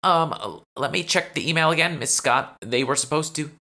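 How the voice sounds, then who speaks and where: An exasperated, sarcastic heroine, acted by a male audiobook reader. Her voice is raised in pitch with a forceful edge. She sounds like an irritable, overworked assistant. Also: moderate pace. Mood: flustered. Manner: sarcastic, profane.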